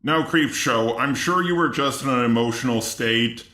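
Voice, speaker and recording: A man's voice: medium pitch, normal speed, bright, forceful tone. A man delivering argumentative scripted commentary in a sarcastic, indignant manner. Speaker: commentary video host. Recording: roomy echo with music underneath. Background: music.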